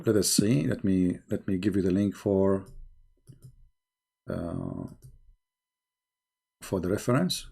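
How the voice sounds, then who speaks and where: A man with an Arabic accent hosting a live-stream debate, insistent, repeating his questions in halting English. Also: deep voice, very animated, creaky, warm tone, breathy edge. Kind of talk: heated call-in debate.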